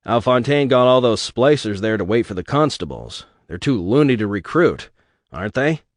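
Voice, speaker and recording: A man playing a brisk, talkative workman who reasons things out aloud. His voice sits a touch higher and louder than the narration, in a dry, close storybook sound. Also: very animated, no echo.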